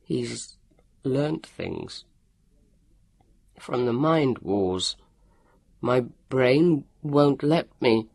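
A man's voice: medium pitch, expressive, drawn-out words, pauses often, darkish tone, heavily acted. A man voicing a tall, brawny young student, a frightened captive who pleads and babbles in an audiobook. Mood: frightened babbling. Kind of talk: audiobook character voice.

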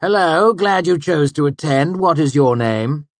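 A man's voice: a little high, animated, measured pace, no echo, voice pushed up. Sneering schoolmaster of magic, cold and cutting, voiced by a man; raised, strained timbre. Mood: mocking. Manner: mocking, over-courteous. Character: haughty male magic teacher, cutting.

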